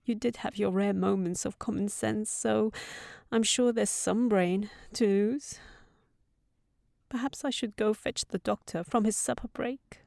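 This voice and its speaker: A woman's voice: medium pitch, unhurried, creaky, dry recording, clean studio sound. A young woman playing a sensible fairytale housekeeper secretly in love, talking tenderly and fretfully in an intimate fantasy audio roleplay. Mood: fretful, rambling. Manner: tender, fretful rambling with sighs and hushed asides.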